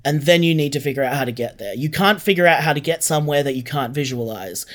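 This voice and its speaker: Higher-pitched man, earnest and explanatory. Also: lively intonation, fast talker, fairly bright tone. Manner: earnest, explanatory, repeats phrases for emphasis. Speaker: podcast guest, audio drama co-creator. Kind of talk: reflective interview conversation.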